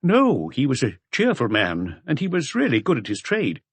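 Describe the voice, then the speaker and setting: Guy doing a polite helpful witness, higher pitched, measured answers, dry audiobook. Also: huge pitch swings, slightly rough, no echo, full character voice. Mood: polite, earnest.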